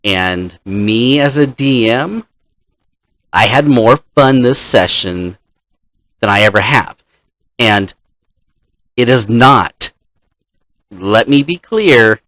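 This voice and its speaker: A man in the role of dungeon master, casually telling a story from his game session in a halting, deliberate manner. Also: lowish voice, expressive, a bit bright, no echo.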